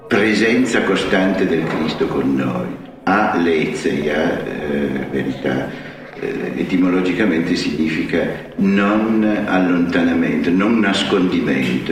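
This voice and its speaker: A man with a deep voice explains ideas in a deliberate, scholarly way, often pausing to find his words. He is recorded far from the microphone in a strongly reverberant space, with music in the background. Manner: hesitant, deliberate, scholarly phrasing. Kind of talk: reflective explanatory talk on religion. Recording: very echoey, distant mic, music behind.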